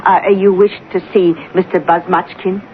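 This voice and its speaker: A woman's voice: on the low side, level tone, normal speed, close to an everyday voice. An old landlady, formal and deferential but glad to confide, played by a woman in a vintage radio play. The recording is thin and phone-like with faint noise. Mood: deferential, confiding. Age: 70s. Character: elderly female landlady, courteous, gossipy, deferential. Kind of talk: 1970s radio drama acting.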